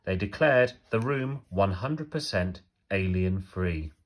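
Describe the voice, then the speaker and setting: Deep-voiced man reading a children's storybook. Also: expressive, slow. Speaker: teacher reading aloud.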